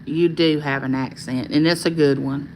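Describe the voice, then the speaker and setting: A woman with a Southern Arkansas accent hosts a casual livestream, reading viewer comments aloud and answering each by name in a calming voice. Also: low-pitched, moderate pace, slight background noise, low-quality recording. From California. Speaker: livestream host.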